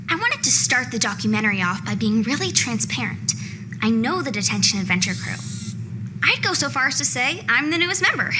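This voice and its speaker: A woman playing an earnest, self-important amateur documentary host in a comedy. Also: high voice. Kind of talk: comedy mockumentary to camera. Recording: noisy ambient background.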